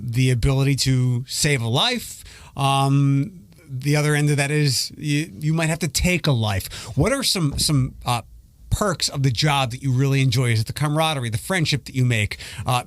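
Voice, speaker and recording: A man hosting a casual interview, asking questions with a joking, teasing manner. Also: mid-range voice. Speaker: radio show host.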